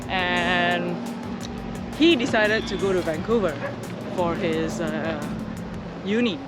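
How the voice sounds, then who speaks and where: A woman's voice: on the low side, takes it slowly, loud music behind the voice. A young adult woman from Indonesia, a guest in a casual interview, speaking hesitantly with many fillers and restarts.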